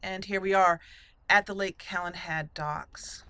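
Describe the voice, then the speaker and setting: Bright-voiced woman gaming host. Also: mid-range voice, steady tone, a little slow, a little hiss or hum. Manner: chatty, thinking aloud. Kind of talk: casual let's play commentary.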